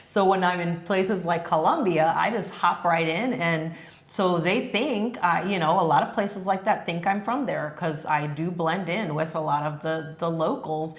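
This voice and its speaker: A woman's voice: lowish voice, normal speed. A woman whose first language is Spanish, co-hosting a casual travel chat, with frequent laughs and fillers. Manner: friendly, laughs often, many fillers. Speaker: co-host. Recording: echoey room.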